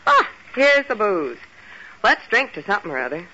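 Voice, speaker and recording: An actress playing a scheming, cool, teasing femme fatale with an American accent in a 1940s radio detective drama. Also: female voice, medium pitch, sing-song, moderate pace, bright, forceful tone. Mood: taunting, seductive. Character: sultry hard-boiled scheming femme fatale. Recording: dull, noisy old radio recording.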